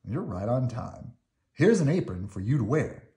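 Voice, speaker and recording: A calm, kindly storekeeper, read by a man. He speaks as an everyday grown-up in a children's storybook. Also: medium pitch, moderate pace, pitched above the performer's own voice, close to an everyday voice.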